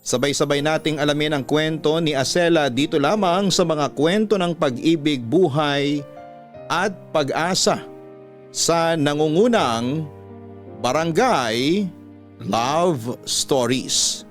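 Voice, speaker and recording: A man narrating a dramatic story as a radio host, measured and sentimental. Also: fairly high pitch. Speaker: radio storytelling host reading listener letters. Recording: voice over soft background music.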